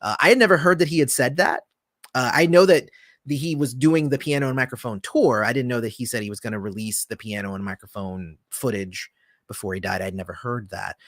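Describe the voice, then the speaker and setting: A man hosting a casual livestream, answering viewer comments in a relaxed, rambling way with lots of fillers, often trailing off softly. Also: mid-range voice, brisk, no room sound, clean studio sound. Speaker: channel host.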